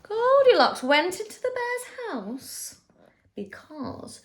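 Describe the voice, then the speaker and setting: A woman teacher reading a storybook expressively to young children, with dramatic emphasis and pauses. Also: very high-pitched, big pitch swings. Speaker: infant school teacher.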